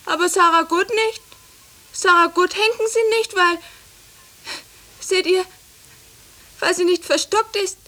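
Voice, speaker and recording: An actress playing an excitable, self-important teenage servant girl in a 1950s German radio play about a witch hunt, speaking breathlessly. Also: very high-pitched, a little slow, long pauses, full character voice. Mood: fervent.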